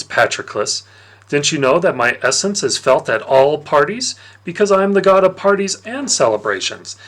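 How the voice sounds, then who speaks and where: A man voicing a plump, jovial god of wine and parties with menace underneath, in a theatrical, condescending storybook manner with drawn-out emphasis. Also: fairly high pitch, a bit bright, pitched above the performer's own voice. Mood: smug menace.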